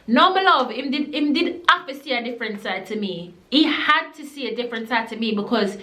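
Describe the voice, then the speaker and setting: A woman's voice: a little high, animated, fairly quick, bright, forceful tone. Blunt Jamaican woman with an echo. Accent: Jamaican, living in the UK. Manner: blunt, emphatic, slipping into patois. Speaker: vlogger. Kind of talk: storytime vlog.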